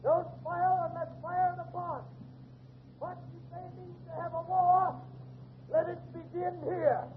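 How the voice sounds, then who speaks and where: A resolute militia captain, a man calling orders in a very high, raised, commanding voice. It is vintage radio drama, thin and narrow in sound with faint noise. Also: fairly even intonation, a little slow, long pauses. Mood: defiant, commanding. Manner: commanding, declamatory, shouted orders. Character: resolute male militia captain rallying his men. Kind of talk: 1940s radio drama acting.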